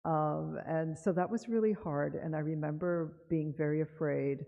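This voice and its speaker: Woman from Cuba, low voice, gravelly, conversational author talk, synagogue chapel, long reverb, dull broadcast sound. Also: fairly even intonation. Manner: unhurried, conversational, with frequent fillers. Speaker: author reading and speaking at a synagogue event. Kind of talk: live author talk with poetry reading.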